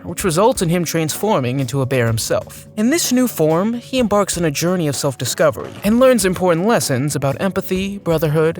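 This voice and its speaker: A man reads scripted video narration in a clearly enunciated, storyteller-like way. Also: a little high. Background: background music.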